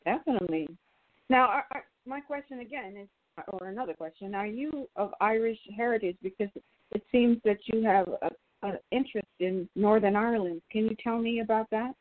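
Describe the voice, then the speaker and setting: A woman's voice: on the high side, brisk, no room sound. A woman hosting a radio talk show, interviewing a guest, often stumbling over the start of her questions. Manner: brief interjections, repeats words when starting a question. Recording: dry, tinny phone-like line.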